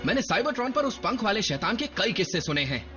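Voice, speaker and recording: An actor playing an eager, cheeky young robot rookie in a cartoon dub. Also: male voice, sits high, very animated, fast talker, sharp tone, soft background music, heavily acted. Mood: determined.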